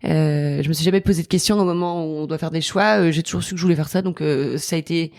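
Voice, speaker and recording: A woman's voice: low voice, fairly even intonation, fast, darkish tone, no echo, clean studio sound. A French woman in her thirties, a guest chatting casually in an interview, hesitant with many fillers and frequent laughs. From France. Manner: hesitant, lots of fillers and restarts, laughs often. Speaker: podcast guest.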